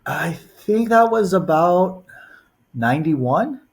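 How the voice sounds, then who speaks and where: A man's voice: a little high, lively intonation, takes it slowly, creaky, husky. A man in his forties, raised in Los Angeles, telling stories as a podcast guest with lots of "um" and "you know". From born in the Philippines, raised in Los Angeles. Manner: rambling storyteller, heavy on "you know" and "um". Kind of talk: casual podcast interview.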